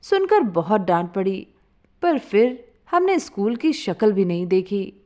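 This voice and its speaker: A woman narrating a humorous first-person story in an expressive, conversational way. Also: medium pitch, measured pace.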